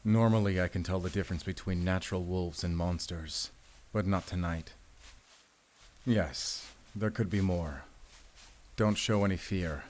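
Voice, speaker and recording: Grim, low-voiced werewolf hunter. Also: male voice, darkish tone, a little hiss or hum. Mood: wary. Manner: grave, deliberate, commanding. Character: grim, battle-weary werewolf hunter, protective and solemn. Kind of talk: cinematic audio roleplay, speaking to the listener.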